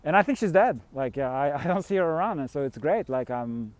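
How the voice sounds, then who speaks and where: A man's voice: on the high side, animated, brisk, darkish tone, dry recording. A middle-aged man from Switzerland, rambling candidly through a casual vlog monologue with the odd chuckle. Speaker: fasting coach and vlogger.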